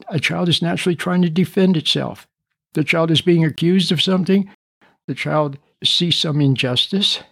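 An older man in his seventies, speaking a little high for a man, with a gravelly edge. He stutters now and then and talks earnestly to parents, circling back over his points. The sound is close and very dry. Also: no echo. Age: 70s. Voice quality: stutters at times. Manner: earnest, preachy, repeats and rephrases points. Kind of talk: recorded talk addressing parents.

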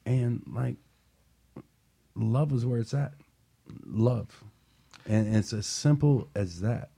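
A man's voice: medium pitch, slow, gravelly, mellow, breathy edge. A man hosting a casual panel discussion, talking in an earnest, conversational way with emphatic repetition. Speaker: talk show host.

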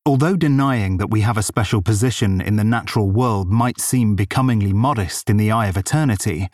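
A man narrating an audiobook, reading quotations in a deliberate, formal delivery. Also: fairly low pitch, darkish tone, no echo, studio quality.

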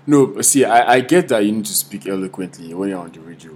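A man's voice: on the low side, expressive, fast talker, a little mellow. A young Nigerian man hosting a casual podcast, teasing his co-hosts and laughing often. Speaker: podcast host.

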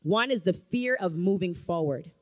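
Fervent woman preacher, lowish voice. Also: lively intonation, normal speed. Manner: emphatic, rising to fervent calls of amen. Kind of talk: sermon. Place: church sanctuary.

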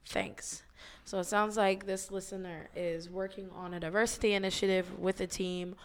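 A thirty-year-old woman with a hoarse voice, co-hosting a podcast in relaxed, slangy chat with frequent ums. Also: mid-range voice, moderate pace. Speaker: podcast co-host. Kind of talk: casual chat.

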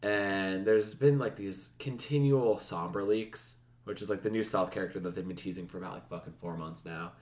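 A man's voice: low voice, moderate pace, few pauses, darkish tone. A man co-hosting a gaming podcast, chatting casually with slang and swearing, recorded with a roomy, echoing sound. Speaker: video game podcast co-host. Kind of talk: casual chat with profanity.

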